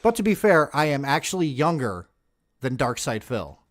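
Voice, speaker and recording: Animated sarcastic man in his forties. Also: medium pitch, normal speed, slight background noise. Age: 40s. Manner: profane, sarcastic, joking. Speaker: video host reacting to footage. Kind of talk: casual mocking commentary on police bodycam video.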